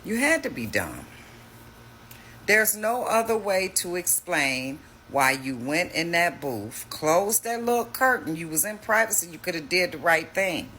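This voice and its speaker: A man's voice: sits high, very animated, unhurried, bright, forceful tone, slight background noise. A man hosting a reaction video, talking casually in a mocking, slangy way.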